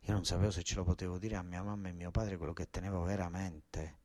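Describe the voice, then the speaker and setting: A man's voice: low-pitched, flat delivery, fast, darkish tone, dry recording. A man with a southern Italian accent narrating a theatrical first-person monologue, colloquial and repetitive, with dialect words. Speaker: actor, storyteller.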